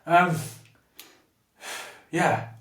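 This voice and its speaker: A man's voice: a little high, extremely slow, a bit bright. A man in his thirties from Portsmouth with a Portsmouth English accent, talking openly and reflectively as a podcast guest, often restarting his sentences. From England. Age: 30s. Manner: open and reflective, with frequent restarts. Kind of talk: candid personal conversation. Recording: roomy, somewhat distant mic.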